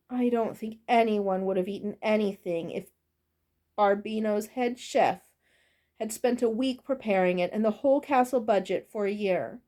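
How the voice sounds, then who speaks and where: A kindly, flustered master of a fantasy castle, played by a woman reader. He talks in a lively, fairly high voice, hesitant and awkward as he reassures, in storybook style.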